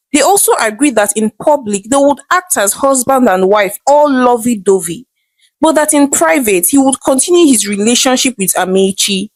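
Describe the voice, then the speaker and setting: A woman's voice: fairly high pitch, no room sound. A woman with a Nigerian accent telling a story in a dramatic oral style as host.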